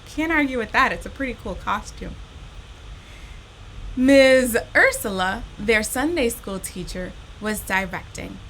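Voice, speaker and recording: A woman reads a children's story in a bright, forward voice. She takes her time with each phrase and addresses young listeners directly, with plenty of expression. Some noise sits behind her voice. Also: on the high side, animated, a little slow, rough recording. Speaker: storytime host reading a children's picture book.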